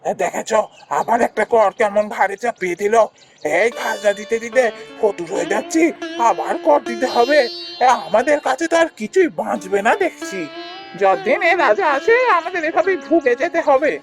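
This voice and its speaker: A man's voice: very high voice, lively intonation, normal speed. A man playing an aggrieved villager in a cartoon fairy tale, complaining indignantly. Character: aggrieved village man protesting a tyrant king. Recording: faint music behind the voice.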